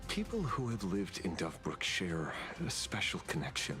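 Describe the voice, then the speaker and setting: A man voicing an easygoing, wry detective in an adventure game, relaxed and conversational. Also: low-pitched, normal speed. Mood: gentle, wry. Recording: game music behind.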